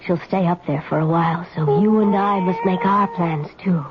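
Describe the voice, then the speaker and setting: An actress playing a sly, coolly insolent woman drifter in a radio mystery, languid and softly taunting. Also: fairly low pitch, fairly even intonation, moderate pace, close to an everyday voice. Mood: crooning, scheming. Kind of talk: 1970s radio mystery drama acting. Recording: muffled, noisy 1970s radio broadcast.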